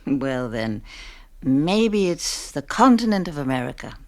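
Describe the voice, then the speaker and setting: A woman's voice: low-pitched, big pitch swings, measured pace, darkish tone. An actress playing a tired, long-suffering wife and mother in a radio play, earnest and subdued. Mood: tired patience.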